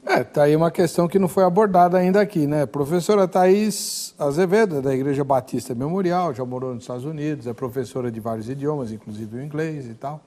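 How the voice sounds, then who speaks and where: A man's voice: on the high side, fairly quick, hardly any pauses, warm tone. A Brazilian man hosting a studio talk show, introducing segments and guests with jokes. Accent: Brazilian Portuguese. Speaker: TV host.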